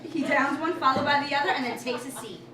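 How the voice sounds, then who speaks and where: A woman's voice: high voice, fast. A woman narrator reading out stage directions in scripted narration at a staged reading. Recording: distant, echoey live room with clear noise.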